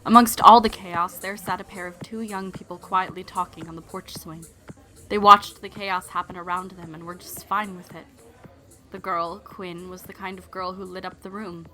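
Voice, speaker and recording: A woman's voice: fairly low pitch, fairly even intonation, normal speed, bright, forceful tone, rough recording. A woman playing an opinionated young narrator of a love story, addressing the listener bluntly in a dramatic first-person telling. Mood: storytelling. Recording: music behind the voice.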